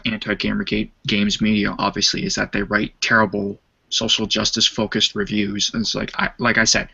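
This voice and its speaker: A man chatting on an online voice call, laying out his opinions in a measured way and at length. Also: fairly low pitch, gravelly, bright, forceful tone, breathy edge. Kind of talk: casual online voice chat. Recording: lo-fi call audio.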